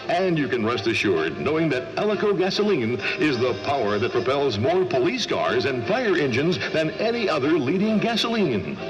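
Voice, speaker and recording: A man announcing commercials in polished 1940s radio style. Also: mid-range voice. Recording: muffled vintage radio broadcast. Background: music.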